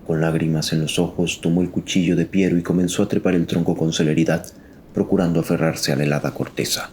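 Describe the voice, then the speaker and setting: A man's voice: deep voice, little pitch movement, fast, a little mellow, slight background noise. A man narrating a scripted dramatic story in a solemn, suspenseful manner.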